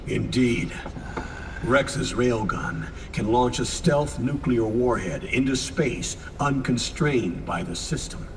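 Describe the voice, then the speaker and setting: A man playing a grave older commander in a video game, solemn, measured and authoritative. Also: mid-range voice, expressive, gravelly. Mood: solemn, urgent. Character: grave older male commander briefing his operatives. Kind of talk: dramatic video game acting. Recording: distant, reverberant, noisy vehicle ambience.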